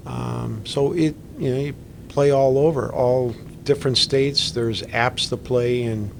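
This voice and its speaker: A middle-aged man with a gravelly voice speaks as a pickleball instructor in casual conversation. He tells his stories in a chatty, easygoing way, with a faint hum behind him. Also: medium pitch, fairly even intonation, a little slow. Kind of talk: podcast conversation.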